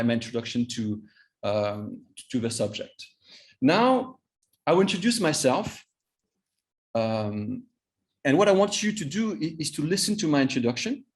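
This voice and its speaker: French-accented man, halting trainer's talk. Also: mid-range voice, darkish tone. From Vietnam and France. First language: Vietnamese. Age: 50s. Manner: halting, repeats words for emphasis. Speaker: cross-cultural trainer and coach.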